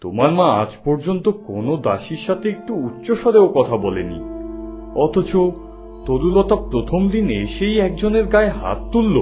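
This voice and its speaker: A man's voice: a little high, very animated, moderate pace, over music. Man voicing a kindly old king. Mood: reasoning. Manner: warm fatherly, commanding to servants. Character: kindly older king, father of the prince. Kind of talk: Bengali cartoon dubbing.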